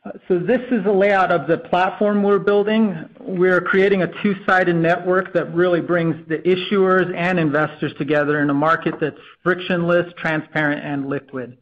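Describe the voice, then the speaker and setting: Tech exec guy doing a presentation, highish voice, lots of uhs, kinda muffled call sound. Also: steady tone, normal speed, hardly any pauses. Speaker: company technology executive. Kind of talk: business slide presentation on earnings call.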